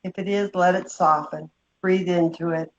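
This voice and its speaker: Woman, meditation guide, fairly low pitch, gentle slow instruction, long pauses, dull lo-fi sound. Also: steady tone, slight background noise.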